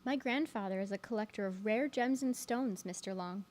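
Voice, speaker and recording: An actress playing a sly, politely coaxing young granddaughter in an audio drama. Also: female voice, medium pitch, normal speed, a little mellow, natural voice.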